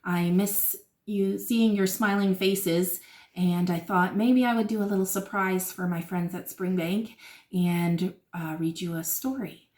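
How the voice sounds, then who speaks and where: A woman teacher reading a picture book aloud to children in a deliberate, expressive storytelling manner. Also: on the low side.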